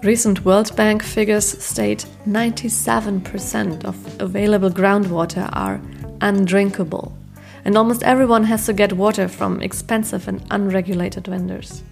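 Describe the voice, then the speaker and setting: Gravelly-toned woman narrating news. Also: medium pitch, fairly even intonation, a little mellow, faint music behind. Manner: measured, informative. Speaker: documentary narrator.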